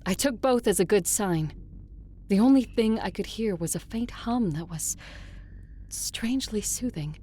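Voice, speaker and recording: A woman's voice: mid-range voice, animated, measured pace, mellow, slightly rough. A woman playing an adult female conqueror who narrates her quest in a first-person audio drama. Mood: measured narration. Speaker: narrator and lead character.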